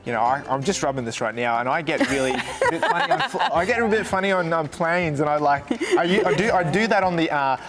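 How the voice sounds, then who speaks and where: A man's voice: a little high, expressive, fast talker, few pauses, over music. A man, co-hosting a live shopping show with enthusiastic, rambling patter that often stumbles over its words. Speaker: shopping channel co-host. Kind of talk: live shopping broadcast presenting.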